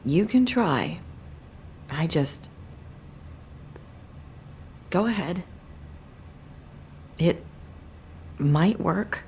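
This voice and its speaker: A sorrowful young game character with a low voice. She speaks slowly and hesitantly, guarding what she says, over audible background noise. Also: animated, darkish tone, heavily acted. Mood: guarded. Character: guarded, sorrowful young woman hiding secrets. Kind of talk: video game dialogue acting.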